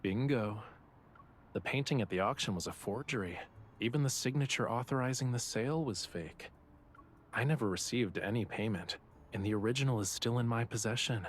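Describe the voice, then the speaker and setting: A man's voice: on the low side, slight background noise, lightly acted. An actor playing a casually indifferent, teasing young male artist in a video game. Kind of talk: English video game voice acting.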